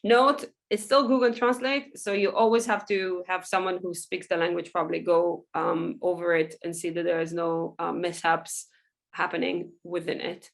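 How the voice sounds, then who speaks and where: A woman, a Hebrew speaker, giving a loose, chatty software workshop full of ums and small laughs. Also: lowish voice, little pitch movement, moderate pace, vocal fry. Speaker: workshop presenter. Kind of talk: casual software tutorial over screen share.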